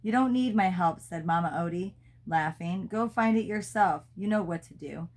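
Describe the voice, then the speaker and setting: A woman's voice: lowish voice, normal speed, darkish tone. A woman reading a children's storybook aloud, as a mother does for her child.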